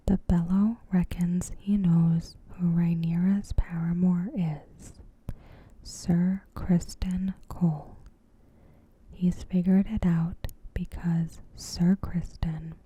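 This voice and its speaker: A woman doing ASMR in a hushed, breathy whisper, gently and unhurriedly reading a recap of a story. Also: on the low side. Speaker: ASMR creator and host. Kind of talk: soft-spoken ASMR, reading a TV episode recap.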